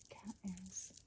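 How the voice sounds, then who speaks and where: A woman hosting an ASMR video, chatting in a gentle, unhurried whisper and softly repeating words. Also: slight background noise. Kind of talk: whispered ASMR, chatting while sorting buttons.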